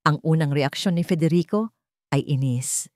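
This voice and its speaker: A woman's voice: sits low, moderate pace, a little mellow, no room sound, clean studio sound. A woman storyteller reading scripted fiction in a dramatic narration style.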